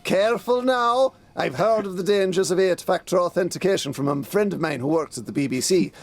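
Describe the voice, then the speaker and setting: Guy doing stuffy clueless middle aged detective, high creaky voice, hammy audio comedy. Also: brisk. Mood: pompous, grave. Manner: pompous, theatrical, bewildered. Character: pompous, technophobic middle-aged male police detective inspector. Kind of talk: comic audio drama acting.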